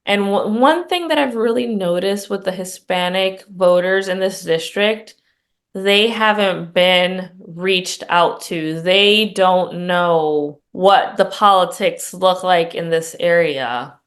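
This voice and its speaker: A woman's voice: on the low side, slow. A middle-aged woman from Puerto Rico, a congressional candidate speaking in an interview, earnest and conversational with frequent ums and restarts. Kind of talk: campaign interview.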